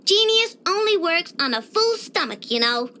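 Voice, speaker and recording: A woman's voice: very high voice, big pitch swings, normal speed, sharp tone, slight background noise, exaggerated voice. A woman voicing a cheerful, proud young girl inventor in a video game, with a bubbly, boastful, childlike delivery. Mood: chatty, indignant. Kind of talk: scripted video game character voice lines.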